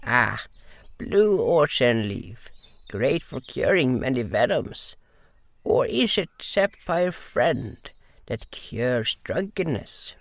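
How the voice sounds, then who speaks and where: A man voicing a small, odd amphibian healer who talks calmly in slow, simple, broken phrases for an audio drama. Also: medium pitch, vocal fry, dry recording, full character voice. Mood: calm, musing. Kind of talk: audio drama character acting.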